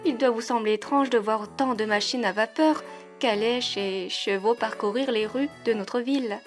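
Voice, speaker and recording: A woman's voice: on the high side, moderate pace, soft background music, close to an everyday voice. A woman playing a gracious, effusive steampunk duchess in a French audio roleplay, with refined, airy, polite delivery. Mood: gracious, welcoming.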